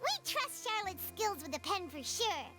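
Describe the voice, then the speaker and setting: An extremely high, childlike little game companion, bubbling with cheer. Her intonation leaps all over the place in a chirpy, bouncy way. Music plays prominently behind the voice. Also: big pitch swings, normal speed. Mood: pleased. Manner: bubbly, chirpy, refers to self in third person. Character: tiny cheerful childlike female companion creature. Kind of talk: video game character acting.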